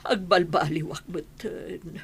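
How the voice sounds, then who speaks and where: A woman playing a weak, remorseful older mother-in-law in an Ilocano radio drama, speaking haltingly. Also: fairly low pitch, steady tone, slightly rough, exaggerated voice. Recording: faint ambient noise.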